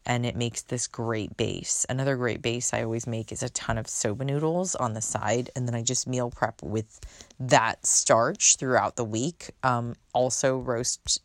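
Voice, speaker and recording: Woman, podcast host, very deep voice, soothing, chatty, unfiltered, dry close sound. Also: no echo. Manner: chatty, unfiltered, swears casually. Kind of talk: casual solo podcast chat.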